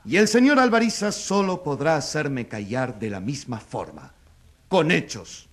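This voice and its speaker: A man's voice: a little high, big pitch swings, unhurried, fairly bright tone, full character voice. Declamatory, combative male newspaper editor. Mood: defiant, emphatic. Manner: declamatory, emphatic, oratorical. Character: combative newspaper editor accusing a politician, undaunted, insistent. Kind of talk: radio drama acting.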